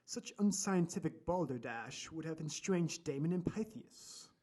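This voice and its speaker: Man playing husky, blustery old Victorian doctor. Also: a little high, animated, measured pace, darkish tone. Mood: indignant. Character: hearty, boisterous, opinionated older gentleman doctor. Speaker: audio drama actor. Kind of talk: audio drama acting.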